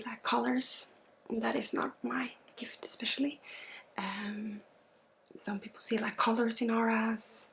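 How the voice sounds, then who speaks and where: Slow, creaky Swedish woman doing healing ASMR. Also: on the high side, slight background noise. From Sweden. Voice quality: breathy, often dropping into a whisper. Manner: unhurried, halting, with pauses mid-sentence. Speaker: spiritual healer and ASMR host. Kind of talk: spiritual ASMR, guided energy healing.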